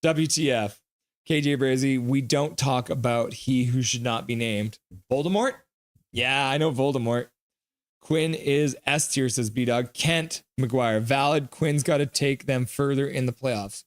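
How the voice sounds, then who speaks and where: A man hosting a live hockey stream, reading chat comments aloud with loose jokes and breaking into quick play-by-play. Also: medium pitch.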